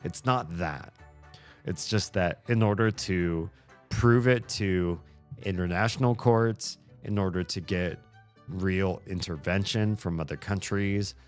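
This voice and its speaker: A man streaming and answering chat in a casual, hesitant way, thinking aloud with many pauses and fillers. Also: low voice, soft background music.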